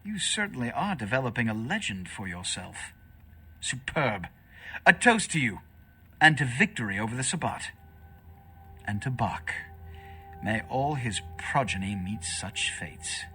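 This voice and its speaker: Video game vampire prince: pompous, scheming, bright forceful voice, highly animated pitch. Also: male voice, measured pace, long pauses, slightly rough, heavily acted. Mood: gloating. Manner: pompous, formal, grandiloquent. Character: imperious, theatrical vampire prince, scheming and haughty. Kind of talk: dramatic video game voice acting.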